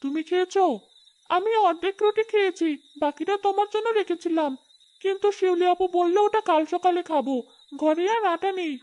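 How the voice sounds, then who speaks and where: A woman's voice: extremely high pitch, brisk, darkish tone, voice pushed up, rough recording. A woman voicing an innocent, affectionate little village girl in a cartoon fairy tale, childlike and eager. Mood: tender, concerned.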